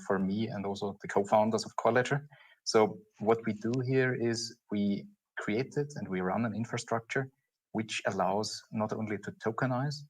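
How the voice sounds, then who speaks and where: A man's voice: lowish voice, poor audio. A man with an Austrian accent speaking as a panelist at a conference, hesitating and searching for his words. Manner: halting, searching for words, chuckling.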